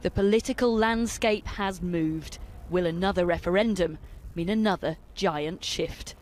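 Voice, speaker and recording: A woman news reporter narrating a television report with a measured, clear delivery. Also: lowish voice, a little mellow, slight background noise.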